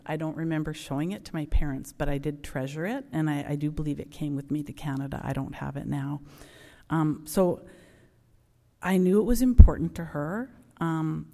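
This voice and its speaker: A woman's voice: deep voice, gravelly, warm tone. Woman, middle-aged, church testimony, casual and reflective, lots of ums, long reverb. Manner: conversational, frequent ums, reflective. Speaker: church member giving testimony. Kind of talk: personal testimony.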